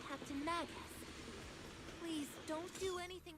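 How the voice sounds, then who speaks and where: A woman's voice: very high voice, steady tone, unhurried, heavy background noise. An actress playing a dutiful, caring young female soldier in a video game, with a polite, earnest manner. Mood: pleading.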